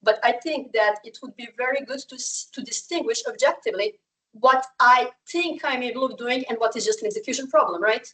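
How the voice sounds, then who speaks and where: A woman's voice: a little high, brisk, fairly bright tone, recorded from a distance, low-quality recording. A young woman from Serbia, a native Serbian speaker, giving a candid conference talk as a researcher, with frequent fillers and restarts. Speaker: researcher presenting at a summer school.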